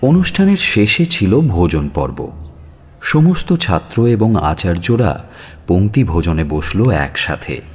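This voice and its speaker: A man with a very deep voice narrates an audio story. His delivery is measured and slow, yet his pitch moves expressively through the telling, over soft music. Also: sing-song, husky.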